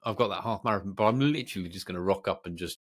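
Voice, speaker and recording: Male podcast co-host, low voice, chatty and informal, thinking out loud. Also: rapid-fire. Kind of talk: casual podcast chat.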